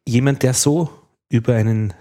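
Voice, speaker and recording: A man's voice: mid-range voice, normal speed, warm tone. Playful, curious male interviewer. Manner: curious, playful, agreeable listener. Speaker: podcast host, interviewer. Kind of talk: casual interview.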